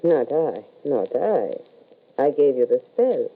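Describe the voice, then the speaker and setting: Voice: medium pitch, sing-song, slow pace, exaggerated voice. An actor playing a cold, unpitying spell-caster, terse and knowing, in an old-time radio horror drama. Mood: cold, detached. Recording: muffled, band-limited old radio recording.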